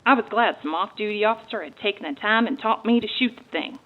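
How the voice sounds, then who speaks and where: A woman's voice: medium pitch, fast talker, highs cut off, close to an everyday voice. A woman playing a folksy, earnest amateur detective and monster hunter with a Texan accent, in a dramatic reading of a memoir. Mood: earnest, resolute.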